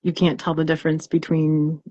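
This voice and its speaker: A woman's voice: deep voice, monotone, moderate pace, warm tone, dry recording, highs cut off. A woman co-hosting a poetry reading, talking casually and expressively as she searches for her words.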